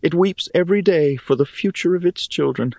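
A man's voice: fairly high pitch, brisk, creaky, a little mellow, no room sound, pitched above the performer's own voice. A man voicing an earnest, emotional young woman in a fantasy audiobook, with an intense, heartfelt manner. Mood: sorrowful. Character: earnest, emotional young female friend of the hero.

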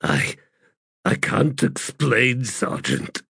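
A man voicing an overwhelmed, halting soldier healer in an audiobook. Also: mid-range voice, big pitch swings, gravelly, bright, forceful tone, breathy edge, no echo, clean studio sound, full character voice. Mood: anguished. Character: weeping, shaken male soldier healer.

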